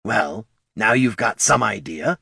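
A man's voice: fairly low pitch, expressive, measured pace, gravelly, bright, forceful tone, husky, dry recording, studio quality, full character voice. A man voicing a small, scrappy male kobold who rambles nervously and talks himself in circles. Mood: wry.

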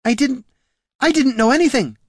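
Man voicing a high-pitched, fretful young noblewoman. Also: takes it slowly, slightly rough, no room sound, studio quality, exaggerated voice. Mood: panicked. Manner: fretful, emotional. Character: naive, panicky young noblewoman, tearful and indignant. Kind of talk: audiobook character dialogue.

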